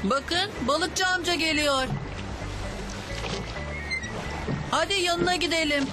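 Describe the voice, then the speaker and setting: A woman's voice: extremely high pitch, moderate pace. A woman voicing a curious, eager young child in a children's cartoon. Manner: eager, questioning. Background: music.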